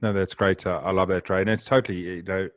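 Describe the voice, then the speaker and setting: A South African man hosting a podcast, talking loudly, fast and with excitement in casual interview chat. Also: low voice, no echo, clean studio sound. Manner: loud, excitable, talks fast. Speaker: podcast host.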